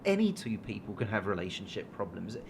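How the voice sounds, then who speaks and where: A man playing a defensive, evasive partner in denial in a radio drama, with a British accent and halting, well-spoken, sarcastic delivery. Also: on the low side, very animated, creaky, a little hiss or hum. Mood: defensive, uneasy. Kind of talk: naturalistic radio drama acting.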